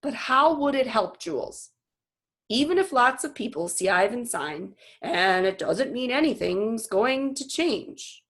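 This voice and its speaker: A skeptical storybook father, read by a woman, reluctant and tired. He speaks slowly and in a measured way, doubting everything put to him. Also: a little high, big pitch swings, a bit bright, slightly rough, voice pushed up, heavily acted. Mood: weary. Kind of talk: children's novel read-aloud.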